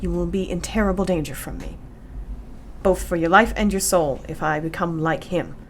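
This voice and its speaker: An actress playing a brave, devoted young wife in a radio play, speaking solemnly and formally. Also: female voice, lowish voice, moderate pace, slight background noise, lightly acted. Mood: solemn pleading.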